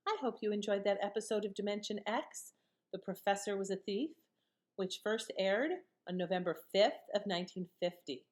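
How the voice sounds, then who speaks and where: A woman's voice: medium pitch, fairly even intonation, moderate pace, a little mellow. A woman giving casual commentary as a channel host.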